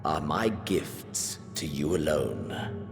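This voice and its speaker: An actor playing a menacing, obsessive princely villain in a video game, brooding and aristocratic, moving between whispers and intensity. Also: male voice, a bass voice, very animated, takes it slowly, creaky, exaggerated voice. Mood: intense longing. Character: menacing, obsessive princely male villain craving a worthy battle. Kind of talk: dramatic video game cutscene acting. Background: background music.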